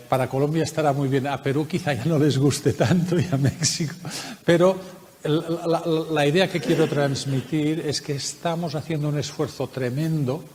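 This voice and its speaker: Spanish-accented man presenting at a conference, measured and explanatory with frequent "eh"s, mid-pitched, roomy hall sound. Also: a little mellow, slight background noise. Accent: Spanish from Spain. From Spain. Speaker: researcher presenting a project. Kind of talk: conference workshop talk. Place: conference room.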